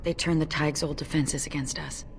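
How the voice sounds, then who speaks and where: A woman playing a stoic female dwarf warrior in a video game, sober, dry and deadpan. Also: deep voice, moderate pace, vocal fry, in a large hall, slight background noise. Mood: grim, resolute.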